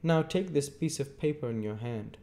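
A stern middle-aged lawyer, as a male reader puts him on, pressing every question like a cross-examination. His voice rides well above the reader's own, loud and insistent, with a mellow darker tone underneath. Clipped and emphatic, a storybook figure who always knows better. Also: a little high, animated, moderate pace, voice pushed up. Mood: lecturing.

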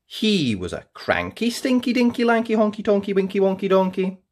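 A storyteller hosts a read-aloud for children. The delivery is extremely animated, leaping up and down in pitch. Key words are stretched out with playful, theatrical emphasis. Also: fairly quick. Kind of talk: expressive read-aloud of a children's picture book.

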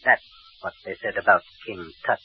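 An actor playing a fussy, ghoulish middle-aged undertaker who talks in a prim, unctuous, sing-song way, in a 1940s radio drama. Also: male voice, low voice, moderate pace, breathy edge, soft background music. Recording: dull 1940s radio recording.

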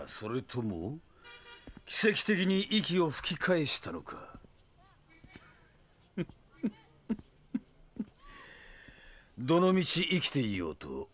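An arrogant villain, wildly swooping voice. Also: male voice, medium pitch, full character voice. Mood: cold, smug. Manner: pompous, theatrical, sneering. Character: arrogant, cruel, immortal male villain with time powers. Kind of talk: anime-style audio drama acting.

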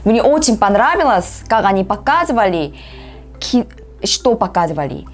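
A woman in her thirties from South Korea with a Korean accent, giving casual reaction commentary in halting, simple phrases of a second language. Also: medium pitch, sing-song. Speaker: reaction vlogger. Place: small room at home, indoors. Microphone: lapel mic. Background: film soundtrack music.

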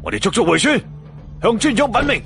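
A man's voice: extremely high pitch, big pitch swings, fairly quick, vocal fry, music in the background, voice pushed up, full character voice. A man voicing a scornful, angry male village fighter in an audiobook, taunting and barking. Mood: urgent. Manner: taunting, shouting orders.

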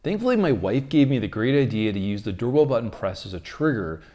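A man's voice: medium pitch, very animated, brisk, dark tone. A man, explaining technology as the host of a tutorial video. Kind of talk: explanatory tech tutorial, presenting to camera and in voice-over.

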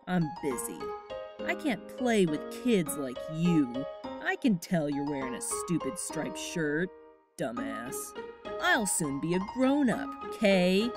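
A woman's voice: low voice, very animated, slow, heavily acted. Surly cartoon monster teen voiced by a woman: dismissive, swinging intonation, music underneath. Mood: sullen. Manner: sullen, dismissive. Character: surly, foul-mouthed teenage monster kid. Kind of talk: comic dub voice acting.

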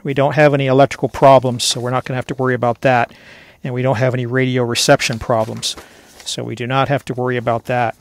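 Casting: middle-aged male tutorial host, conversational and methodical, creaky mid-pitched voice. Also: no echo. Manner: conversational, step-by-step explaining. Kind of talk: casual hands-on instructional narration.

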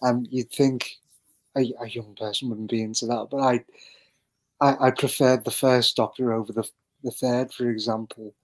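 A man giving a reflective interview as a voice actor on an online call, speaking haltingly as he thinks aloud. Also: mid-range voice, little pitch movement. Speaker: podcast guest, voice actor.

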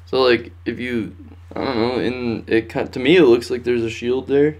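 A man's voice: medium pitch, moderate pace. A young man co-hosting a casual chat from a room at home, speaking in a laid-back, tentative way. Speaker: co-host of a pop culture news show. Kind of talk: casual chat, speculating and asking questions.

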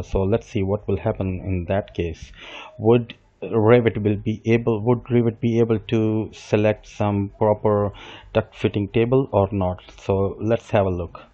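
Male instructor, middle-aged, low and soft-toned, patient step-by-step talk with self-corrections, muffled desk-mic sound. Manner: explains step by step while working, with small restarts and self-corrections. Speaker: software instructor. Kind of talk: screen-recorded software tutorial. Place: desk with computer, voice-over on screen capture. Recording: dull lo-fi voice recording.

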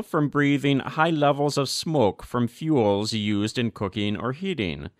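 A man giving a measured, earnest audiobook reading of a formal church document. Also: medium pitch, no echo, clean studio sound.

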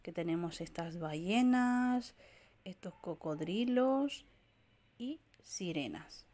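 A woman from walking through a supermarket aisle, filming on a handheld phone and reading out prices and sizes in a steady listing way. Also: on the low side, slow pace. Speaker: shopping vlogger. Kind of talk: walk-through product rundown. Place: indoor discount supermarket aisle. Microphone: handheld phone camera, filming while browsing.

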